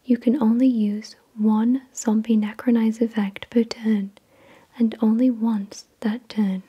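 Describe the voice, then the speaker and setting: A woman hosts ASMR in a very breathy, unvoiced whisper. Her voice is soft and dark in tone, sitting fairly high for a woman. She reads slowly and deliberately, in a soothing manner. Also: little pitch movement, dull audio. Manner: slow, deliberate, soothing reading. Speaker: ASMR video host. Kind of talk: whispered ASMR card reading.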